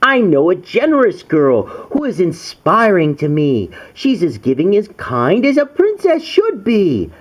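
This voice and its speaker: A man voicing gentle, gracious, excited female fairies from a storybook, in sing-song rhyme. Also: high-pitched, a little slow, few pauses, full character voice. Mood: admiring.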